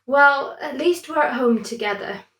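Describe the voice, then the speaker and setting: A sentimental male prawn from a bedtime story as a woman storyteller puts him on. He is fussy and plummy and exclaims as he talks, pitched up from a normal speaking voice. The room can be heard around him. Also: lively intonation, a little slow, fairly bright tone, reverberant, low-quality recording. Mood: tender, reassuring.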